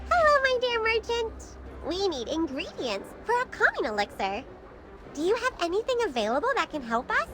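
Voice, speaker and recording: A woman voicing a kindly, helpful young apothecary in a fantasy game, polite and expressive, with music playing over her. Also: extremely high pitch, normal speed, full character voice. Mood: bright, polite. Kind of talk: voiced dialogue in a fantasy game.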